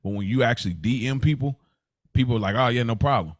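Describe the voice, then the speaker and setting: A man's voice: mid-range voice, fast, dry recording, dull audio. A man co-hosting and producing a podcast, chatting informally in slang and thinking aloud as he goes. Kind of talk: casual conversational podcast talk.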